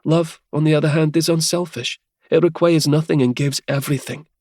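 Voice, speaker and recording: A man voicing a bold young woman who answers a tyrant with quiet, firm calm. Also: a little high, a little fast, dry recording, studio quality, voice pushed up, lightly acted. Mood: calm defiance.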